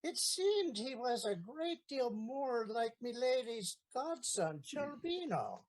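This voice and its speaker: A woman's voice: a little high, sing-song, slow, a little mellow, heavily acted. A woman acting the part of a stubborn, half-drunk old male gardener in a comic period play. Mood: suspicious.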